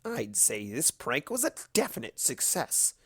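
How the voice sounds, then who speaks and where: A woman's voice: extremely low pitch, very animated, unhurried, a bit bright, slightly rough, no room sound, clean studio sound, full character voice. A woman voicing a mischievous, boastful male cartoon chaos creature in a smug, cheeky, theatrical manner. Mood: boastful, scheming. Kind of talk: fan fiction storytelling.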